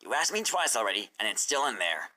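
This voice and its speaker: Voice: medium pitch, big pitch swings, fast, bright, forceful tone, voice pushed down, poor audio. Sprecher spielt einen kecken jungen Drachen. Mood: reassuring. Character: cocky, defensive young male dragon assistant.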